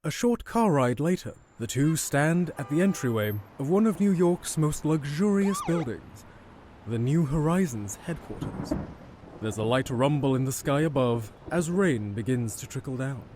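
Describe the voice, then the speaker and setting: A man's voice: on the high side, expressive, normal speed, a little mellow, a little hiss or hum. A man narrating an audio drama from a script, as its storyteller.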